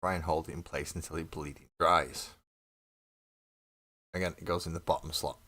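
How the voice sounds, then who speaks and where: Guy with a deep voice rambling to his stream while building minis, coughing a bit. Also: steady tone, normal speed, pushed and bright. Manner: chatty, laughs often, talks to viewers in chat. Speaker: mini painting livestream host. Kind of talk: casual chat while building models.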